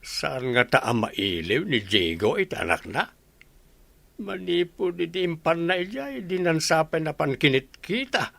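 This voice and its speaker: Supporting role: actor as nosy village man, creaky bright voice, gossipy radio-drama delivery. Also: a little high, big pitch swings, unhurried, no echo, exaggerated voice. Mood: inquisitive.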